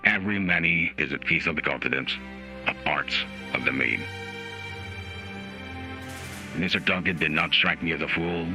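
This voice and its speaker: A man voicing a stern, formal judge in a measured dramatic reading, through a voice changer, with music behind. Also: extremely low pitch, pitched below the performer's own voice. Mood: solemn. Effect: voice changer app. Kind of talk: dramatic reading of a court opinion. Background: music added by the app.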